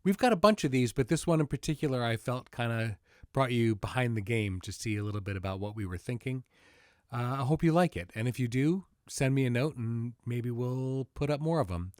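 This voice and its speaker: A man speaks as a podcast host in an informal, conversational way, slipping in dry asides. His pitch is mid-range, and the recording is close and dry with very little room sound. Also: animated, fairly quick, a little mellow, no room sound. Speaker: podcast host and series creator. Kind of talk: casual podcast introduction and conversation.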